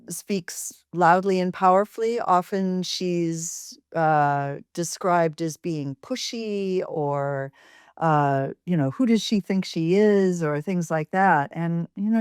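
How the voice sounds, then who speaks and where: An American woman, a voice professor being interviewed, with a fairly deep voice, speaking thoughtfully with pauses and fillers. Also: a little mellow, clean tone, dry recording, clean studio sound. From United States. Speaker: voice and speech professor, guest. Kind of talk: interview answers.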